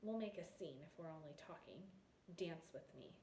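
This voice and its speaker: A woman's voice: deep voice, animated, moderate pace, dark tone. A woman voicing a proud, wounded young princess in a storybook, with clipped, accusing delivery, in a roomy space. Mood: curt.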